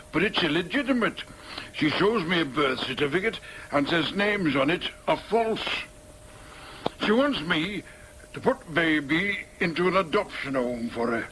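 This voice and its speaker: An actor playing a plain-spoken Northern English working man, telling his story in a deliberate radio monologue. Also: fairly high pitch, fairly bright tone, slight background noise, low-quality recording. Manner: matter-of-fact, deliberate. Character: plain-spoken former maintenance man recounting a confession. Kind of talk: first-person dramatic monologue.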